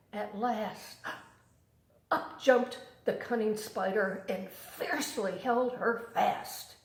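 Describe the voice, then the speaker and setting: An older woman, a grandmother, reading a storybook poem to children in an unhurried, dramatic storytelling manner. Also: medium pitch, roomy sound.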